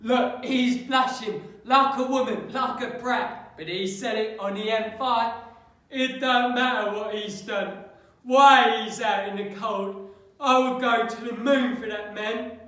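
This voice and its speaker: In a very echoey room, a man acts out a sly working-class bloke spinning stories for a stage monologue. The voice is high and bright, with colloquial, needling emphasis. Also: steady tone, slow pace, heavily acted. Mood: needling, insistent. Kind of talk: dramatic audition monologue. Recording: very echoey room, slightly distant mic.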